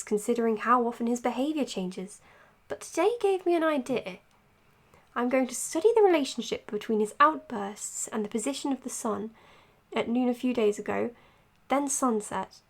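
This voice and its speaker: Female psychiatrist, higher-pitched voice, dutiful, precise, professional, audio drama. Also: animated, normal speed. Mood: measured, thoughtful. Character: earnest, dutiful young woman psychiatrist, careful and caring. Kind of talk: audio drama acting as spoken case notes and dialogue.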